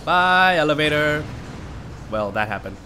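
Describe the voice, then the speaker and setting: Stuffy-nosed gamer man, lively rambling commentary. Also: a little high, measured pace, background noise. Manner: rambling, reactive, talks to the screen. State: stuffy nose from a cold. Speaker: let's play host. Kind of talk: casual gameplay commentary.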